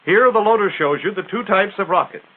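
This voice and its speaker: A man's voice: high-pitched, brisk. An American man narrating a 1940s military training film in a punchy, emphatic, instructional manner, on a tinny old soundtrack.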